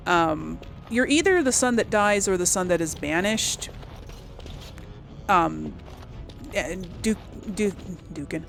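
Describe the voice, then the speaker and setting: A woman's voice: on the low side, vocal fry. A woman doing casual video game commentary, rambling and thinking aloud in an unsure way. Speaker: video game let's-play host.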